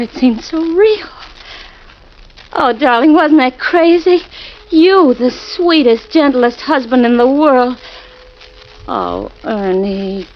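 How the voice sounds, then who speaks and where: Brief: jittery young wife, high and breathless, old muffled radio play with hiss. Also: female voice. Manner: breathless, fretful, pleading. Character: nervous young wife shaken by a nightmare. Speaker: radio drama actress. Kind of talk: 1940s radio acting.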